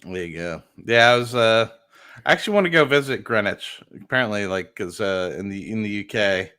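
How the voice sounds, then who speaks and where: A man's voice: lowish voice, lively intonation, a little fast, bright, forceful tone, smooth. A man chatting casually on a livestream about a launch, rambling with lots of fillers and correcting himself. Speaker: launch commentary streamer.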